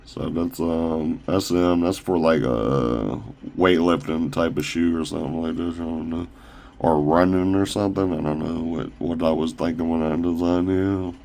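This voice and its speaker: A man casually showing off his own shoe designs on a phone camera, rambling with fillers and restarts, his voice a little raspy from talking a long time. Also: deep voice, steady tone, a little mellow. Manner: halting, loose, full of fillers and restarts. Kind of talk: casual rambling product showcase.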